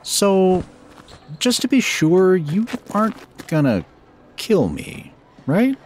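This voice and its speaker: A man's voice: high voice, very animated, slow pace, a little mellow, a little hiss or hum, exaggerated voice. A man playing an easygoing, teasing companion in a fantasy audio drama, conversational and a bit hesitant. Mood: reassuring.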